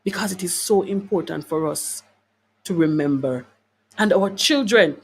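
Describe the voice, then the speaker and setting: A woman's voice: on the low side, normal speed, slightly rough. Emphatic woman hosting a wellness talk. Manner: emphatic, repeats phrases for stress, preacher-like; conversational, addresses listeners with rhetorical questions. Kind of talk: live talk to online viewers, reading out comments.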